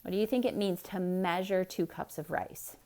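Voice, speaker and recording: A woman reading a children's story aloud in a theatrical, expressive way, speaking directly to young listeners with explanatory asides. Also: on the low side, normal speed.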